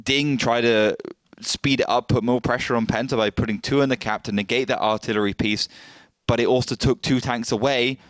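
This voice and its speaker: Male co-caster, measured analyst, close dry mic. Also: medium pitch, steady tone, no room sound. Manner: measured, analytical, frequent fillers. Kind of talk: esports match commentary and analysis.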